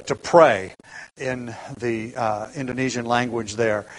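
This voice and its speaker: A man, a pastor, preaching a sermon in a halting way, with many restarts and light chuckles. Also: mid-range voice, poor audio.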